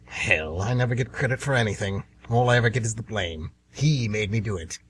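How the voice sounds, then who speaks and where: A man's voice: on the low side, lively intonation, a little fast, close to an everyday voice. A man playing the Devil as an anxious, aggrieved, scheming businessman in a comedic amateur radio play, sardonic and always bargaining. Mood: needling. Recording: noisy amateur radio recording with hum.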